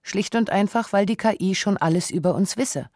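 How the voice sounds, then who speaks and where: Mellow, close-up documentary narrator. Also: mid-range voice, dry recording. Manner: measured, essayistic, rhetorical questions.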